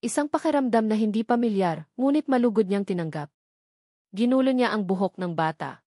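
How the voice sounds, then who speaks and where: A woman's voice: medium pitch, expressive, fairly quick, warm tone, no echo, clean studio sound. A woman giving scripted, dramatic narration of a story as its narrator.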